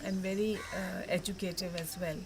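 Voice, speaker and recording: A woman from Pakistan, reflecting earnestly in an interview, halting with fillers. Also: fairly low pitch, level tone, background noise. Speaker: meeting participant. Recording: loud crowd chatter behind.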